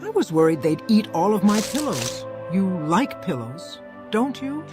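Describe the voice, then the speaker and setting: A fretful, quirky townswoman from a fantasy video game, acted by a woman, speaks scripted lines at a medium pitch. Music plays clearly behind her. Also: big pitch swings, measured pace. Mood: earnest.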